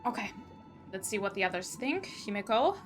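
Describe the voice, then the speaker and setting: A woman's voice: medium pitch, big pitch swings, brisk. A woman streamer commenting live on a game, outspoken and emotionally invested as she reacts aloud. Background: faint game music.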